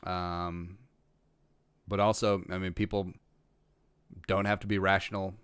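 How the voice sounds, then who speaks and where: A man's voice: deep voice, little pitch movement, a little slow, a bit bright, clean tone, no room sound. A man from rural Minnesota hosting a casual livestream, reading viewer questions and musing through them with frequent restarts and dry humor. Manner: rambling, restarts often, dry self-aware humor. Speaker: podcast host answering live chat.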